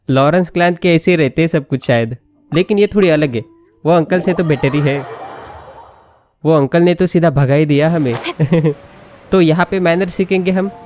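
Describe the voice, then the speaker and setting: A woman's voice: lowish voice, darkish tone. A woman with an Indian accent, a native Hindi speaker, giving casual gameplay commentary and reacting in a chatty way. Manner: chuckles often, reacts to game dialogue. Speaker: gaming video host.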